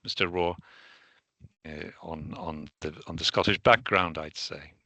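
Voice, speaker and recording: A man's voice: very deep voice, fairly even intonation, measured pace, pushed and bright, no room sound. A man introducing the interview formally and hesitantly, handing questions to a colleague. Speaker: interview co-host.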